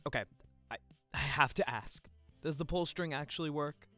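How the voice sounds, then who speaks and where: A man's voice: fairly high pitch, normal speed, sharp tone, dry recording, faint music behind. A man playing an easygoing, good-humoured boyfriend at a party in an audio drama. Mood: friendly. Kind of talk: naturalistic audio drama acting.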